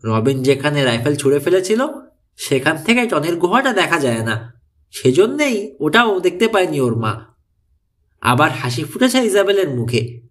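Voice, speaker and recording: A man with a fairly high voice narrates an audiobook thriller. His delivery is highly animated and suspenseful, with pitch that moves around a lot as the scripted story unfolds. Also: moderate pace.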